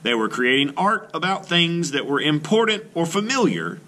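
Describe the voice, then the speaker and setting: A man reading a children's book aloud as an art teacher, with expressive, emphatic delivery. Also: fairly high pitch, normal speed, a little hiss or hum.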